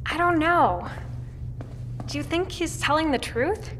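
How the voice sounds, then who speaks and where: An actress playing a captain's young adult daughter, earnest and gently insistent, in an audio drama. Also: female voice, high voice, measured pace. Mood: curious. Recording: reverberant room with faint noise.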